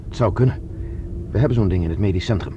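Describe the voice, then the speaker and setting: A man's voice: on the low side, fast talker, warm tone, lightly acted. A man playing a determined scientist on the run in a Dutch science fiction radio drama, speaking urgently as he explains and plots. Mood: urgent, resolute. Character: determined male scientist on the run, plotting escape. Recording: dull lo-fi radio recording with hiss.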